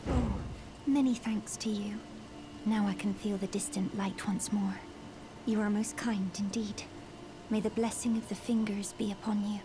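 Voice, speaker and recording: A woman voicing a gentle, frail young maiden in a fantasy game, soft, breathy and very polite. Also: mid-range voice, steady tone, moderate pace, noisy background, natural voice. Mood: grateful. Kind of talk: scripted fantasy game dialogue. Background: music.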